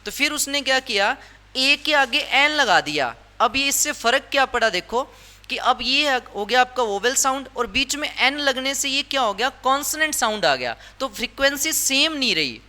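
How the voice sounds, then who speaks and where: A man's voice: very high voice, sing-song, moderate pace, pushed and bright. A male English grammar teacher explaining a lesson step by step, repeating his points and often asking the class questions.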